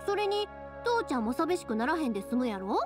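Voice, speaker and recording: Cheerful young woman, Kansai accent, high lively voice, chatty, expressive, anime game, music behind. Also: a little fast. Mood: warm. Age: young adult. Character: cheerful, caring young woman fireworks maker, devoted daughter.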